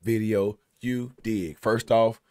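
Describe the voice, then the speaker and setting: A man's voice: on the low side, fairly even intonation, slow pace, mellow, no echo. A man in his thirties with a Kentucky accent, losing his voice, giving a laid-back reaction to a song from a small home studio. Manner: laid-back, slangy, many "you know what I'm saying". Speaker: music reaction host. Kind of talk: casual reaction commentary.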